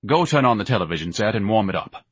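A man's voice: medium pitch, animated, moderate pace, fairly bright tone, slightly rough, no room sound, voice pushed up. A man voicing a pompous, bombastic, indignant grown son who declaims haughtily and theatrically in an audiobook. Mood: scornful.

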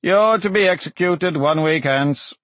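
Man acting a stern, dry old magistrate, raised pitch. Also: a bit bright, dry recording. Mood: stern, solemn. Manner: measured, formal, pronouncing. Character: older grey-browed male magistrate, dry, stern, sardonic. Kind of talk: fantasy audiobook character dialogue.

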